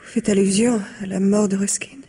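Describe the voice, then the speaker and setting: Very breathy, exhausted fallen starlet. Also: female voice, mid-range voice, fairly even intonation, brisk, mellow. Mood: curt, drained. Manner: weary, breathy, fatalistic. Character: exhausted, bitter drug-addicted Broadway starlet. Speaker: actress in a radio crime drama. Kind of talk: French radio mystery acting.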